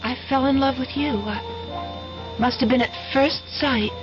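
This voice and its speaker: A woman's voice: high voice, measured pace. An actress playing an adoring, breathless young woman student in a radio drama, with a gushing, hesitant delivery. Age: young adult. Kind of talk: 1970s radio drama acting. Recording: dull 1970s radio broadcast, music behind.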